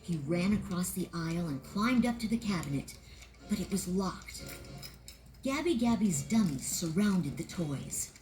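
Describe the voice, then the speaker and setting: A woman narrating a children's read-along storybook in an expressive, sing-song way. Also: fairly low pitch, measured pace, faint music behind, low-quality recording.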